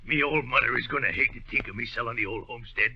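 An actor playing a shady, folksy drifter with slangy street talk and a husky voice, in 1930s radio comedy style. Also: male voice, mid-range voice, quick pace, sharp tone, heavily acted. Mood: sly, pushy. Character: shady, folksy drifter hawking a shabby little house. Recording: dull vintage 1930s radio recording.

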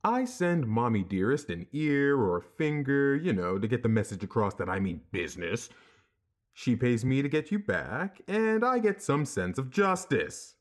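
Woman acting a low-voiced, swaggering, sarcastic mobster. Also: big pitch swings, moderate pace. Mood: smug threat. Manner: mocking, swaggering. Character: menacing, sarcastic, vulgar male mobster. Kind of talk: crime comedy audio drama acting.

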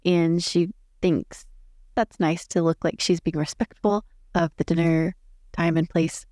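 A woman's voice: on the low side, a little mellow, no room sound, clean studio sound. A woman playing a tabletop roleplay game, casually narrating her character's choices and thinking aloud.

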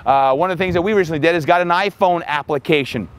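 A man in his forties, a fitness coach, giving energetic marketing tips to the camera outdoors on a lapel mic. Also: fairly high pitch, fast talker, a little hiss or hum. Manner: energetic, upbeat, talks with his hands. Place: outdoors by a building in sunshine.

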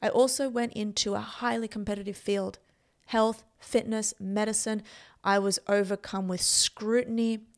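A woman's voice: medium pitch, little pitch movement, moderate pace. A woman in her thirties with an Australian accent, telling motivational personal stories in an emphatic, self-assured way, close on a podcast microphone. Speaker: podcast host and performance coach. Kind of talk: motivational personal storytelling to camera. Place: indoor room. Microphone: podcast microphone on boom arm, close up.

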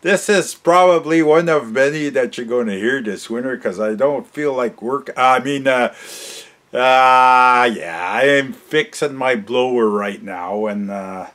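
Slow-talking older Canadian man, folksy. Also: mid-range voice, fairly bright tone. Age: 60s. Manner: folksy, halting, often tags sentences with "eh"; jovial, chuckles often. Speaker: vlog host. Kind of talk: casual rambling storytelling to camera. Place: cluttered garage workshop, indoors.

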